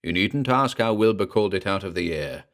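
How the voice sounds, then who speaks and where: Low-voiced man narrating gravely. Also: animated, a little fast, a bit bright. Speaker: narrator and announcer. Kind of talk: scripted audio drama narration.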